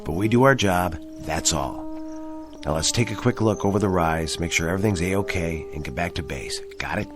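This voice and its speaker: A man's voice: low-pitched, normal speed, music in the background, close to an everyday voice. A man playing a firm, reassuring, commanding National Guard sergeant in a radio drama.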